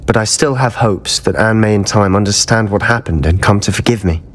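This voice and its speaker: Low-voiced, remorseful teenage wizard schoolboy played by a man. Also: brisk, lightly acted. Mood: grateful, hopeful. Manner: earnest, emotive. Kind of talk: dramatic video game acting. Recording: music behind the voice.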